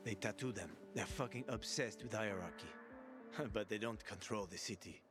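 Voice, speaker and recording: A man's voice: lowish voice, moderate pace, close to an everyday voice. A man playing a wry, roguish schemer. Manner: wry, boastful, swears casually. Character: roguish older male schemer, boastful. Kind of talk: video game dialogue. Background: music.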